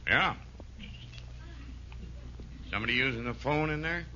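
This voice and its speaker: Sharp, forceful radio policeman. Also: medium pitch, measured pace. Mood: impatient. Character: brisk, no-nonsense off-duty policeman. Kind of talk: old-time radio sitcom acting. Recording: dull, noisy old radio recording.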